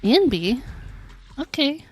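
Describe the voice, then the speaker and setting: A woman's voice: high-pitched, sing-song, takes it slowly, soft background music. A woman livestreaming a game, talking casually in a chatty, giggly, informal way. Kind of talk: casual live gaming commentary.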